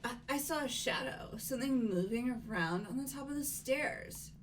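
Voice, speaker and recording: A woman's voice: high voice, lively intonation, normal speed, a little hiss or hum. A woman playing a theatrical, frightened teenage girl witness in a mystery video game. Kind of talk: dramatic game voice acting.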